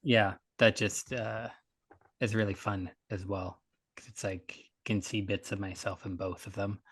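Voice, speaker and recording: A man in a casual podcast discussion, speaking thoughtfully and haltingly in short pieces. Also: lowish voice, flat delivery, a bit bright, breathy edge, no echo. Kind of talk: casual reflective chat.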